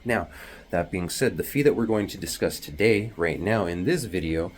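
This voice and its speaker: A man with a very deep voice, talking hushed and rambling with many fillers while explaining delivery-driver pay in a casual vlog from a small bathroom at home. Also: moderate pace. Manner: hushed, half-whispering to avoid waking others.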